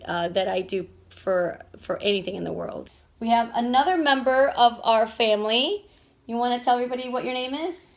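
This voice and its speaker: Rambling woman from Venezuela. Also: a little high. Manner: rambling, with filler-heavy restarts. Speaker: vlog host and stay-at-home mom. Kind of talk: casual family vlog introduction.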